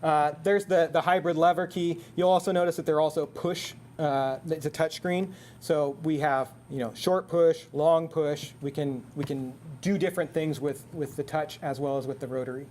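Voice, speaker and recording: A man's voice: fairly high pitch, steady tone, normal speed, a little mellow, a little hiss or hum. A man presenting a technical product at a seminar in a conversational, explanatory way. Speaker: product presenter for an intercom manufacturer.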